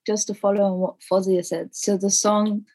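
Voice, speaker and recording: A woman's voice: medium pitch, flat delivery, moderate pace, warm tone, poor audio. A woman, a guest in an interview, explaining things with a few fillers. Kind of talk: casual interview.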